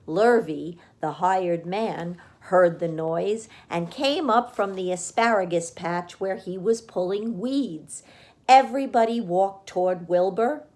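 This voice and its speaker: A woman narrating a children's storybook as a read-aloud reader, with expressive delivery. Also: on the low side.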